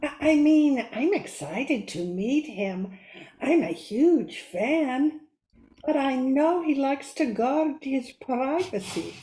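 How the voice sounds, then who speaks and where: A woman's voice: sits high, lively intonation, slow, warm tone, roomy sound. A woman playing a timid, polite Greek assistant in a radio drama, speaking tentatively. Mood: nervous. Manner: tentative, hesitant.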